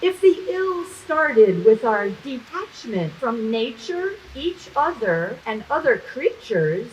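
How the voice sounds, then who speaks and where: A woman performing a dramatic spoken-word poem at an event, with theatrical, deliberate phrasing and pauses. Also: a little high, big pitch swings, far from the mic, dull audio, a little hiss or hum.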